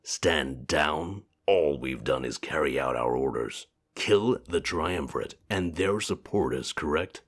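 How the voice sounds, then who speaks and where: An actor playing a cold, ruthless commander who speaks in a measured, unyielding way, voiced for a visual novel game. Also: male voice, extremely low pitch, lively intonation, exaggerated voice. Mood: cold, stern.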